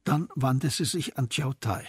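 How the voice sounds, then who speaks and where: A man narrating a radio play as scripted literary storytelling, in a measured, descriptive manner. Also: medium pitch, slightly rough, no echo. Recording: studio narration over music.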